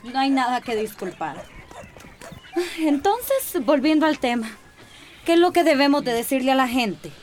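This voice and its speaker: An actress playing a playful, confident relief worker in a radio drama, teasing and direct. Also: female voice, high voice, very animated, moderate pace, slight background noise. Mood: teasing, practical.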